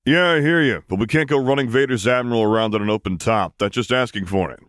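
A man's voice: mid-range voice, quick pace, a bit bright, no echo, studio quality, voice pushed up, lightly acted. A man voicing a brash, wry, streetwise starship pilot in an audiobook, with a slangy, offhand drawl. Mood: casual, teasing.

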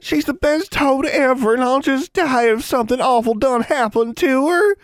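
A man's voice: fairly high pitch, lively intonation, moderate pace, no room sound, pitched above the performer's own voice. A man voicing a dramatic, superstitious young country girl who talks in wailing, folksy, ungrammatical speech for a storybook. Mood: tearful wailing.

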